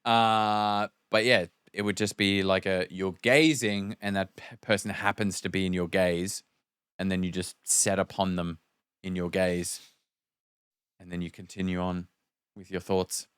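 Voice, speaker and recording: A man with a lowish, smooth and clean voice, captured dry and close. He chats as a podcast co-host, thinking aloud in a wry, informal way. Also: flat delivery, a little slow, a bit bright, dry recording. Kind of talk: casual chat.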